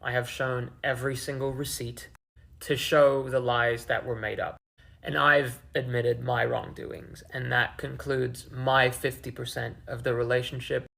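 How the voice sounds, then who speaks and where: A man's voice: mid-range voice, level tone, unhurried, gravelly, pushed and bright. A man in his twenties talking candidly and with some swearing in a personal confessional vlog. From several countries including the Philippines, Australia and the UK. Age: 20s. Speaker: online video creator.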